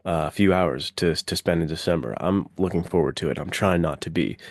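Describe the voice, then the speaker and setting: A man's voice: sits low, brisk, dry recording, clean studio sound. A man hosting a podcast, chatting casually and enthusiastically about films in very informal, slangy speech. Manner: informal, peppered with "like" and "dude". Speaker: podcast host. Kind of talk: casual enthusiastic film chat.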